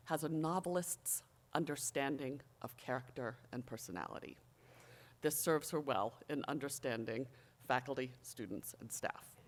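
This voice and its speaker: College official for a ceremonial introduction. Medium-pitched voice, measured and emphatic, reading prepared remarks. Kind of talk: formal prepared ceremonial speech.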